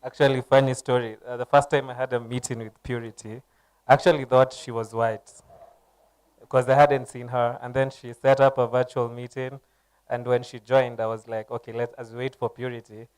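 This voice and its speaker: A man speaking as a panelist at a conference, giving steady, encouraging advice. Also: medium pitch, normal speed, clean tone, no room sound, low-quality recording.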